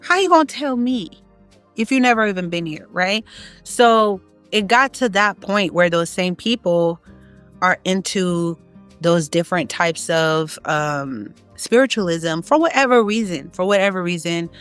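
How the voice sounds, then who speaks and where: A woman's voice: animated, a little slow, fairly bright tone, clean tone, faint music behind. A woman from Haiti giving an emphatic, opinionated casual monologue, her voice climbing when she presses a point. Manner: emphatic, rising in pitch when making a point. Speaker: online content creator.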